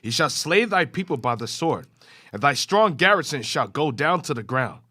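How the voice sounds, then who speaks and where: A man reading scripture aloud in a class, with a steady, formal reading cadence. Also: a little high, moderate pace.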